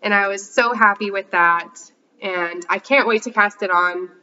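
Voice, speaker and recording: Congested, chatty female host, bright forceful tone, slightly dull lo-fi audio. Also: medium pitch, moderate pace, slight background noise. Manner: chatty, rambling, many fillers. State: congested from a cold. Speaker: podcast host. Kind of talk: casual chat showing yarn haul.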